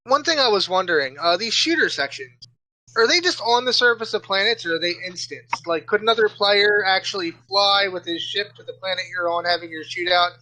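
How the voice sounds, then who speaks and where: A man's voice: sits high, a little fast, sharp tone, poor audio. A man talking casually and inquisitively as a podcast panelist, over faint background noise. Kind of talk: casual, inquisitive gaming chat.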